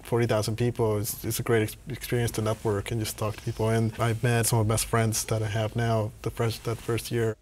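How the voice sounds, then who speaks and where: A man's voice: lowish voice, monotone, a little mellow, a little hiss or hum. A sociable young man from Pennsylvania, a student, answering interview questions. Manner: sociable, quick.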